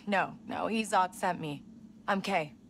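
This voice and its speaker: A woman's voice: fairly low pitch, slow pace, a bit bright, slight background noise. An actress playing a scrappy young woman outlaw in a video game, talking in a casual, clipped, businesslike way. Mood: wry, low-key.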